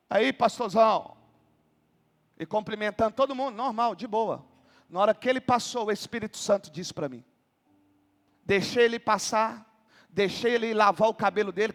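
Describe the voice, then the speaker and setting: A Brazilian man, a pastor, telling a story in a sermon and then preaching with rising passion, at times shouting. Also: sits high, in a large hall. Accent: Brazilian Portuguese.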